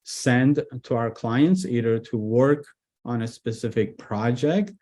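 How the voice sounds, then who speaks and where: A man originally from Iran, speaking as a business guest in a casual interview, relaxed with frequent fillers and restarts. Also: medium pitch, slow. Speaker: guest, IT executive director.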